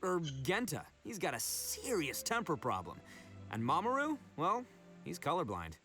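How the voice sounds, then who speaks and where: A man's voice: fairly high pitch, huge pitch swings, normal speed, pushed and bright, soft background music, full character voice. A man playing a brash, big-hearted young male oni gang leader in a video game, with cocky, slangy, earnest delivery. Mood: upbeat, reassuring. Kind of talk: English video game voice acting.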